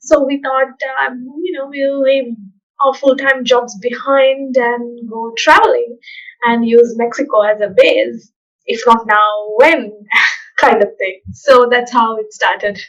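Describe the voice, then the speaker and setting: A woman from Sri Lanka, a podcast guest telling her story in a thoughtful, soft-spoken way with fillers. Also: fairly high pitch, steady tone, slow pace, muffled recording. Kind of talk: casual interview chat.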